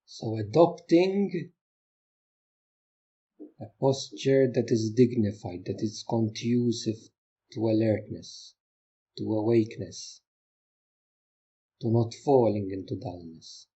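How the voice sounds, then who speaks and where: Male meditation guide, mellow and husky, gentle instructional delivery with pauses, lo-fi muffled sound. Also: lowish voice. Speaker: meditation teacher.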